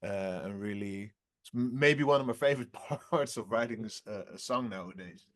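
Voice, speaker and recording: A singer in his twenties answering interview questions in a relaxed, halting way, often laughing between phrases. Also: on the low side. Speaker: rock band singer, guest.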